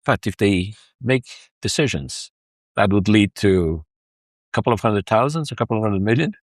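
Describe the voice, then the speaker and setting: A man's voice: fairly low pitch, animated, moderate pace, no room sound. Guy talking data consulting, lots of uhs and restarts, dry close sound. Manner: hesitant, many restarts and fillers. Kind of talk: casual business conversation.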